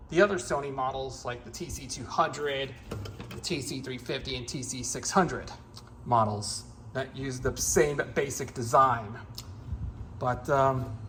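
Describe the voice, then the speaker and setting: A man giving a casual, unscripted hands-on demonstration as a gear hobbyist, in a halting, repetitive, rambling way. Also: medium pitch, fairly bright tone, slight background noise.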